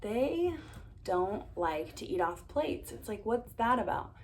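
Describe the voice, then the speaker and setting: A woman doing a casual ASMR-style storytime, reading aloud and drifting into dry, deadpan asides. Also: medium pitch, slow pace. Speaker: cat vlogger reading bedtime picture books aloud. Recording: distant mic, roomy background noise.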